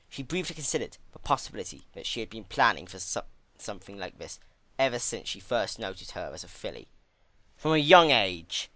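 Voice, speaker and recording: A man narrating a dark story in a theatrical, intense, scripted dramatic style. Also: fairly low pitch, no echo. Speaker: fan fiction narrator.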